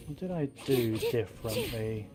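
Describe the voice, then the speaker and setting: Male game streamer, casual thinking-aloud commentary, medium pitch, muttering, casual swearing, game music behind. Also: lively intonation, normal speed.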